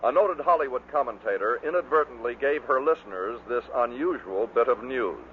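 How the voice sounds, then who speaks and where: A man's voice: mid-range voice, expressive, fairly quick. Narrow, muffled vintage American male narrator. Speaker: narrator of a radio bloopers compilation. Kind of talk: scripted narration. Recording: muffled phone-like vintage recording, faint noise.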